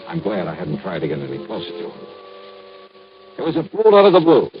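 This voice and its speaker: An actor playing a tough, wry insurance investigator, narrating tersely and dramatically in old radio detective style. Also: male voice, deep voice, huge pitch swings, brisk, full character voice. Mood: grim, tense. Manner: terse, dramatic, hard-boiled. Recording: muffled vintage radio recording.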